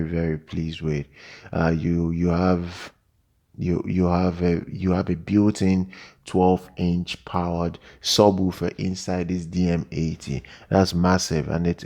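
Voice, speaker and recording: A man giving an unscripted product overview as a tech reviewer, halting and often repeating words and phrases. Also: low-pitched, dark tone. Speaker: tech reviewer, channel host.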